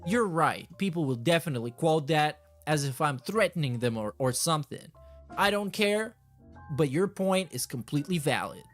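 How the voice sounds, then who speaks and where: A man's voice: a little high, animated, normal speed, fairly bright tone, soft background music. A man hosting a reaction stream, reading messages aloud and commenting casually in a sardonic, dismissive, sweary way.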